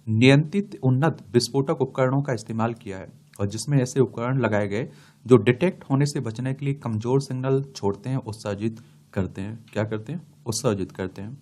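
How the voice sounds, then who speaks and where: A man's voice: medium pitch, darkish tone. A man giving an explanatory lecture, reading from study notes and addressing students as he repeats key terms. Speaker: teacher for exam students.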